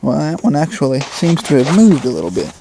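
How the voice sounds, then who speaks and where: A man's voice: a little high, very animated, brisk, gravelly, dark tone. Man giving casual how-to, noisy recording. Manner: plain-spoken, colloquial, explains step by step. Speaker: presenter of a hands-on installation guide. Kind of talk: casual unscripted how-to demonstration.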